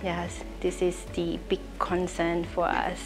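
Slow-talking young Lao-accented woman. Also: fairly low pitch, completely flat delivery, gravelly, soft background music. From Laos. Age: young adult. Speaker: interviewee, trainee. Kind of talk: interview answers. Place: indoor office room. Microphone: lapel.

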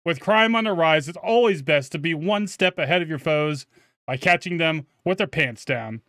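A man's voice: a little high, moderate pace, a bit bright, no echo, clean studio sound. A man voicing a formal, promotional salesman of shady services, reading out a video game note.

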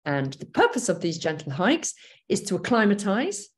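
A woman's voice: medium pitch, big pitch swings, normal speed. A middle-aged woman giving an online pre-departure briefing over a video call, chatty and enthusiastic, with plenty of fillers and restarts. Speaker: gap year program briefer. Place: home office, indoors.

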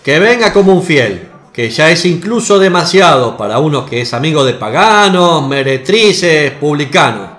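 A man's voice: fairly high pitch, very animated, normal speed, few pauses, a bit bright. A man playing a hostile temple official in a radio drama, accusing and contemptuous. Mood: scornful. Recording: echoey room.

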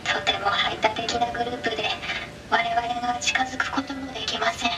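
A woman voicing a gentle, courteous and helpful robot villager in a Japanese game. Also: on the high side, level tone, a little fast, background noise, close to an everyday voice. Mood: polite, helpful. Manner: courteous, soft-mannered. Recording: loud music behind.

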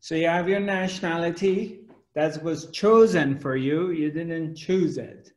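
Guy in his 40s doing a spiritual talk on webcam, slow and probing, bit high voice, roomy echo. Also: darkish tone. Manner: questioning, gestures broadly with both hands. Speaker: spiritual teacher. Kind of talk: spiritual discourse to camera. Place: home room indoors. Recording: echoey room.

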